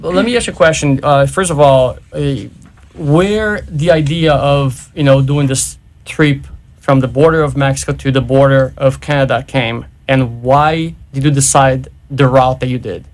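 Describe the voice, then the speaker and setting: A man's voice: mid-range voice, steady tone, normal speed. A man hosting a talk radio show, asking a guest questions in an upbeat, encouraging way. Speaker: radio host. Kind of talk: casual radio interview.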